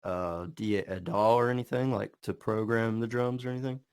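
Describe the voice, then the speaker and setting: A man's voice: lowish voice, fairly even intonation, moderate pace, darkish tone, clean tone. A man hosting a podcast, chatting in a laid-back, slangy way. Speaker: podcast host. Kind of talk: casual interview.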